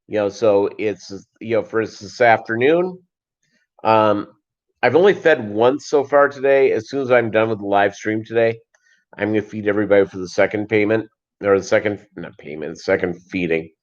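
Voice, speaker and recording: Deep-voiced, rambling American man, muffled audio. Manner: rambling, halting, jokey. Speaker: fishkeeping livestream host and voiceover performer. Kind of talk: casual unscripted livestream chat. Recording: dull lo-fi livestream audio.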